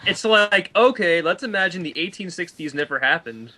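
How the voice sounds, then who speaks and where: A man chatting casually and debating films on a voice call, with an animated, exasperated way of reacting. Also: sits high, normal speed, background noise. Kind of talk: casual chat, film debate on a group voice call.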